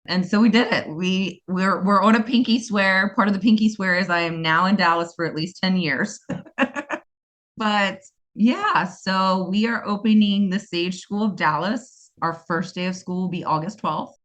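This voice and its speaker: A woman's voice: medium pitch, normal speed, fairly bright tone. A woman in her forties with a US East Coast accent, a talkative and enthusiastic guest who rambles a little in casual interview conversation. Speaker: guest, school co-founder.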